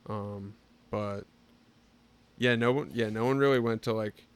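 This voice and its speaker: Guest, male, 20s; lowish voice; laid-back storyteller, filler-heavy. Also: measured pace, clear, resonant tone. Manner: relaxed storytelling, lots of filler "like". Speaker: podcast guest. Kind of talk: casual chat.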